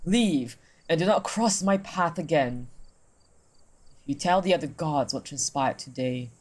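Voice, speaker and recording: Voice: medium pitch, unhurried, darkish tone, natural voice. A streamer voicing a grieving, wrathful harvest goddess in a visual novel, dramatic and stern. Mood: cold, scornful.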